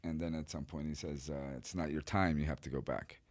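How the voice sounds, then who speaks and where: A middle-aged man from Chile, a guest artist telling his life story in a relaxed, rambling way with many fillers. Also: extremely low pitch, fairly quick. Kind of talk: casual interview storytelling.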